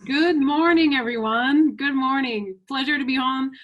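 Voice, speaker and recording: A woman's voice: sits high, measured pace, fairly bright tone, lo-fi sound. Upbeat woman. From Quebec, Canada. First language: French. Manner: upbeat, encouraging, rhetorical "right?". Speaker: panel speaker. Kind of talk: online business talk. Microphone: video call.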